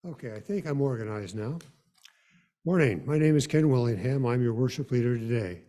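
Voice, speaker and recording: A man acting as worship leader, giving an informal, slightly halting welcome and announcements at a church service. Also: medium pitch, dark tone.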